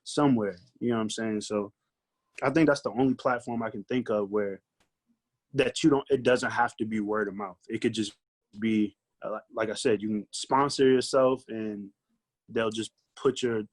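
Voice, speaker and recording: A man's voice: lowish voice, fairly even intonation, moderate pace. A man speaking as a guest artist in a casual podcast discussion, colloquial and thinking aloud with lots of filler phrases. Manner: colloquial, thinks aloud with repeated "like" and "you know".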